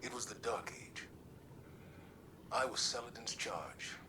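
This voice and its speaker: An actor playing a stern older male commander in a video game cutscene, speaking in a measured, reserved, near-whispered way. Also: mid-range voice, noisy background, lightly acted. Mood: reflective.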